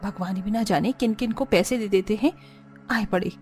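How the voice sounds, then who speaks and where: A woman's voice: medium pitch, moderate pace, a little mellow, faint music behind, natural voice. A woman voicing a proud, outspoken poor young village woman in a cartoon story. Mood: indignant. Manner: indignant, blunt, scolding.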